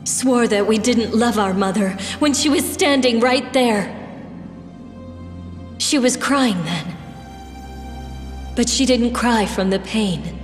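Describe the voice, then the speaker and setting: Casting: young fantasy game princess, earnest and sorrowful, unhurried delivery, roomy echo. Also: female voice, a little high. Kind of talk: scripted video game voice acting. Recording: roomy long reverb with music.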